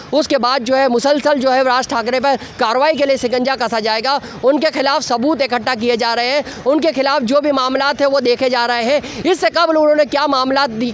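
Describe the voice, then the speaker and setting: Male news reporter, strikingly high pitch, repetitive off-the-cuff commentary, slight ambient noise behind. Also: a bit bright.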